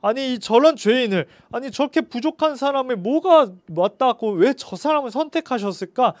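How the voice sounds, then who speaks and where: Baffled townspeople from a storybook tale, exclaiming in indignant disbelief, as a man puts them on. His voice runs high, and the sound is dry. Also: lively intonation, normal speed, dry recording.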